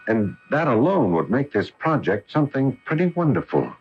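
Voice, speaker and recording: An actor playing an eager, awestruck astronaut commander in a 1980 radio drama, with an earnest, musing, dramatic delivery. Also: male voice, medium pitch, very animated, normal speed, soft background music, close to an everyday voice. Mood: intrigued, excited. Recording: dull, noisy 1980 AM radio recording.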